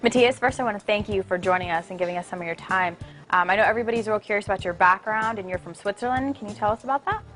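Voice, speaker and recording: A woman's voice: medium pitch, rapid-fire, fairly bright tone, faint music behind. A young woman hosting a sports interview, bubbly and chatty as she introduces her guest and asks questions. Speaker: interviewer and host.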